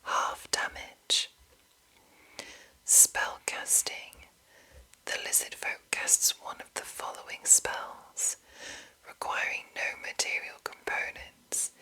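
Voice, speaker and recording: A woman whispers in an ASMR style, with no voiced tone at all. Her whisper is very bright and crisp-edged, full of breath. She speaks softly as a host addressing her listeners. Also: takes it slowly, rough recording. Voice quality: breathy, unvoiced whisper throughout. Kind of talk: whispered ASMR reading of game rulebook and chat to viewers.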